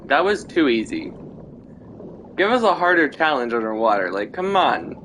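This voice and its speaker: A man's voice: fairly high pitch, sing-song, normal speed, fairly bright tone, slight background noise. A man hosting a game livestream with casual, chatty commentary, often remarking on himself. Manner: informal, chatty, self-commenting. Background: video game music.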